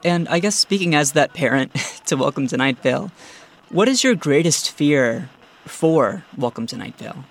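A man's voice: on the high side, expressive, moderate pace, creaky, faint music behind. A man interviewing a guest in a conversational way, with informal, tentative phrasing. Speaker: podcast interviewer.

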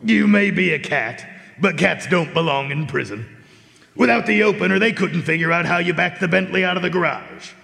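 A man's voice: high-pitched, animated, moderate pace, gravelly, bright, forceful tone, exaggerated voice. A man playing a shrewd, teasing dog detective with a knowing, hard-boiled manner in a live radio drama. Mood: softer, friendly. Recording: echoey concert hall.

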